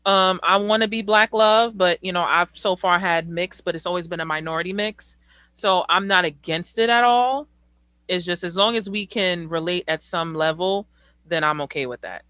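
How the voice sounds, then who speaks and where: A young woman hosts a casual group conversation in a bright, forward voice. She talks bluntly and keeps it real. The sound is dry and close. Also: lowish voice, moderate pace, no echo. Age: 20s. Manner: blunt, outspoken. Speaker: host.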